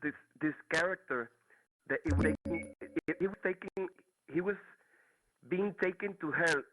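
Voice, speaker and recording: A man's voice: a little high, moderate pace, a bit bright, low-quality recording. A man from Mexico with a Mexican Spanish accent, calling in to a talk show and searching for words with many restarts. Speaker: caller. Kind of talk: casual call-in chat.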